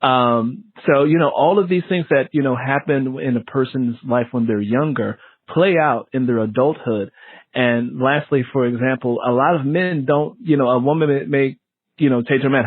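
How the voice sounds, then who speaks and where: A man hosts a casual interview with a mid-pitched voice recorded very dry. He talks in an explanatory way, slipping into quoted lines to illustrate his points. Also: moderate pace, husky, dry recording, phone-quality sound. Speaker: interview host.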